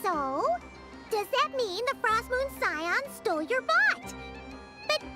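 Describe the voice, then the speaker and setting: A woman voicing a tiny, childlike fairy companion with a squeaky, chirpy voice in a video game. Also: sing-song, slow pace, over loud music, heavily acted. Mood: puzzled. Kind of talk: animated video game voice acting.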